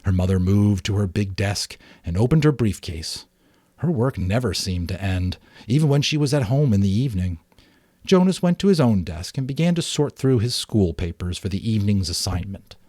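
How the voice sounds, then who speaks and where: A man's voice: lowish voice, lively intonation, moderate pace, mellow, dry recording, studio quality. A man reading scripted storybook narration aloud.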